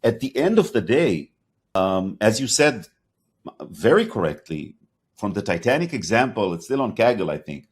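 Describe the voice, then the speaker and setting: A man with a slight Hebrew accent hosting an interview podcast, talking in a halting way with many fillers and restarts. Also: fairly low pitch, sing-song, slightly rough. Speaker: podcast host. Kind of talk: interview conversation.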